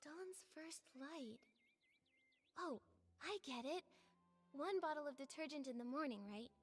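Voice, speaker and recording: High-voiced gentle young heroine. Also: female voice, unhurried, soft background music. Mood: curious. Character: gentle, curious young heroine investigating a mystery. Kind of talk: video game voice acting.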